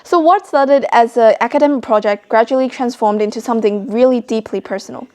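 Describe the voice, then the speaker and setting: High-voiced teenage girl from China. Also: a little fast, a little mellow. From China, later Australia. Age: teens, high school student. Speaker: interviewee, student author. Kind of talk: interview answers about own project.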